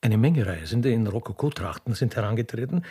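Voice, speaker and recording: A man's voice: medium pitch, animated, fairly quick, dark tone, breathy edge. A man giving theatrical, literary scripted narration of a comic radio play.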